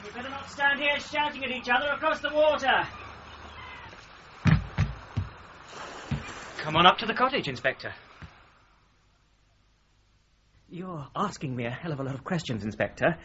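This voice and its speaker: An actor playing a well-bred young British solicitor under suspicion in a radio drama, indignant and hesitant, with clipped, stammering politeness. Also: male voice, sits high, big pitch swings, fast, bright, forceful tone, muffled recording, a little hiss or hum, heavily acted. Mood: irritated.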